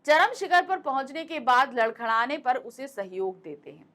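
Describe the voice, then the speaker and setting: Casting note: woman teacher with a fairly high voice, chatty and explanatory, close-miked online lesson. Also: big pitch swings, normal speed, fairly bright tone. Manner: conversational, repeats points, asks rhetorical questions. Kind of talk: explaining a Hindi lesson to students. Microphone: close mic.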